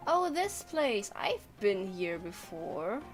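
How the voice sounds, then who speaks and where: Woman, medium pitch, unhurried pace, casual gameplay commentary, rambling, game music behind. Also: big pitch swings. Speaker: game streamer.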